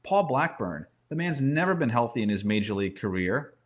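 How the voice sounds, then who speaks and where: A man's voice: medium pitch, sing-song, fairly quick. A man giving conversational sports commentary as a guest analyst, using rhetorical questions and emphatic repetition.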